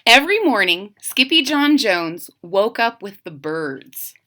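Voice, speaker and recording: A woman's voice: medium pitch, big pitch swings, measured pace, pushed and bright. A woman doing an interactive children's read-aloud, speaking directly to young listeners with questions and asides. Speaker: storytime reader.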